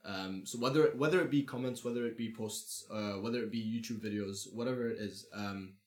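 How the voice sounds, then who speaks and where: A man giving his views in a casual group discussion, speaking in a measured way with frequent ums and restarts. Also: lowish voice. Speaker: discussion participant. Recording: echoey room.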